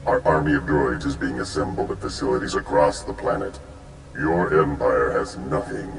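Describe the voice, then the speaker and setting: An actor playing an imperious alien crime-empire archon in a video game, speaking in a formal, pompous and menacing manner. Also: male voice, extremely low pitch, vocal fry, slight background noise. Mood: smug, threatening. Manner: pompous, deliberate. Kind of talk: video game cutscene acting. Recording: echoey, music underneath.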